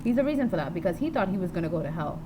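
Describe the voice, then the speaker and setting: A woman talking in an unscripted video diary, rambling and jumping from topic to topic, blunt and quick to swear. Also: on the low side. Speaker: vlogger. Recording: steady background noise with passing vehicles.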